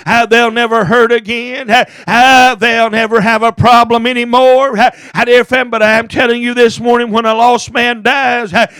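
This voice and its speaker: A man's voice: extremely high pitch, little pitch movement, normal speed, hardly any pauses, pushed and bright, clean tone, dry recording. A man preaching a fervent hellfire sermon in short, chanted, emphatic bursts, each punctuated by a gasped "uh". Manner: emphatic, impassioned.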